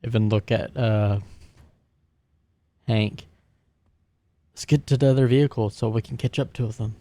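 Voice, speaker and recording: A man casually describes his character's actions in a tabletop roleplaying game. His voice is dark and gravelly, captured dry and close. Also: lowish voice, steady tone, unhurried, no room sound.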